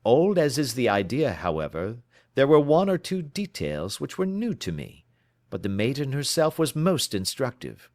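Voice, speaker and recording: Man reading as a brisk, assured detective, lively emphatic delivery, storybook reading. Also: medium pitch, no room sound, clean studio sound, voice pushed up. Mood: musing. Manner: crisp, authoritative, reassuring. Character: brisk, self-assured Victorian male detective.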